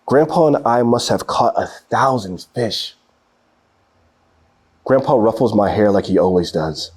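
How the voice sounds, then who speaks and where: A man reading a children's picture book aloud in an expressive storytelling style. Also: lowish voice, measured pace, breathy edge.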